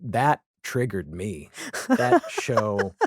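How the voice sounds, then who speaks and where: A man's voice: on the low side, huge pitch swings, extremely slow, no room sound, clean studio sound. A man hosting a podcast, chatting casually about television in a candid, wry and emphatic way. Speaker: podcast host.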